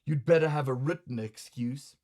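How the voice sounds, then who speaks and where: A man's voice: mid-range voice, moderate pace, a little mellow, lightly acted. A man playing a grumbling, hot-tempered, vain male customer in a comic story, blustering with sarcastic, colloquial indignation. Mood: scornful, irritated.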